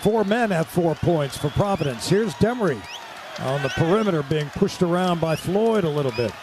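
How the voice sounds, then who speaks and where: Male American radio sports play-by-play caller; high, animated voice, clipped phrasing, noisy arena behind him. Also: normal speed. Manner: clipped present-tense phrases. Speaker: play-by-play announcer. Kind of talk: live radio basketball play-by-play commentary. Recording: clear crowd and arena background noise.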